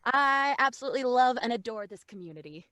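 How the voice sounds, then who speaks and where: A woman's voice: a little high, expressive, fast, sharp tone, no room sound. A woman chatting casually as a guest on a livestream, close on a studio mic. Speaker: voice actor guest. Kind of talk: casual chat. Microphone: close studio mic on a video call.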